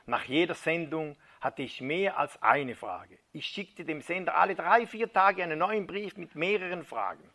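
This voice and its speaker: Bright-toned man reading a testimony dramatically. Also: a little high, moderate pace, few pauses. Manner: dramatic reading, dropping to a hushed near-whisper at key moments. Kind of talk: sermon retelling a first-person testimony.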